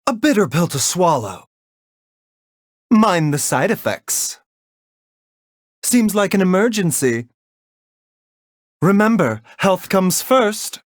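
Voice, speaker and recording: Calm caring game doctor, slow and polite, lilting delivery, super dry close sound. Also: male voice, high-pitched, no echo, exaggerated voice. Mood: composed. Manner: measured, soft-spoken, polite, lightly teasing. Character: gentle, refined male doctor, calm and caring, mildly wry. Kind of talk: video game character voice lines.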